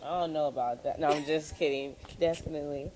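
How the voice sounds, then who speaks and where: A woman hosting a casual interview, playful and quick to laugh. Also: sits low, steady tone, mellow, poor audio. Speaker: host.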